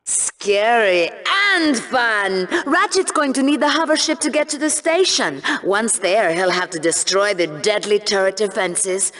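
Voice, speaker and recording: A bloodthirsty sci-fi sports co-host in a video game. She speaks slowly at a medium pitch, with a sardonic drawl. Her banter is theatrical broadcast patter. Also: animated, a bit bright. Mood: eager commentary. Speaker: co-host, commentator.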